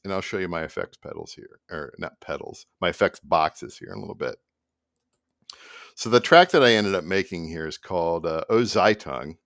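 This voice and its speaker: Middle aged guy w deep voice, rambly casual vlog chat, really dry room sound. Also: sing-song, normal speed, fairly bright tone, no room sound. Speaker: musician presenting own project. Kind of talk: casual behind-the-scenes vlog talk to camera. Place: small indoor home room at a computer.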